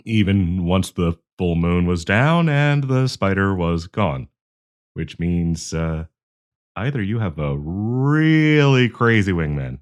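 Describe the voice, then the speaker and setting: A man's voice: low voice, clear, resonant tone, full character voice. A man playing a nervous, awkward young man shyly confessing love to a friend in an audio roleplay, stammering and hesitant. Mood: shy, embarrassed. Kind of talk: intimate audio roleplay acting.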